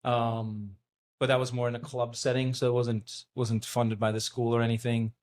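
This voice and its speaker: A man in his forties from Norway, a youth soccer coach and native Norwegian speaker, calmly telling his story with frequent ums. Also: medium pitch, normal speed. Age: 40s. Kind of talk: casual interview answers.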